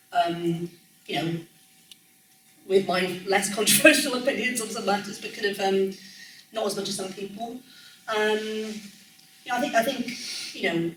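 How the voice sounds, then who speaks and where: A woman in her forties with a soft Welsh lilt talks loosely and warmly through her thoughts, stopping to restart and laughing now and then. Her voice sits a little low. She is recorded from some distance in a roomy, echoing space with faint background noise. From Wales. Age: 40s. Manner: chatty, frequent ums and self-corrections, laughs easily. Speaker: music journalist guest speaker. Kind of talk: casual conversational talk. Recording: echoey room, distant mic, faint noise.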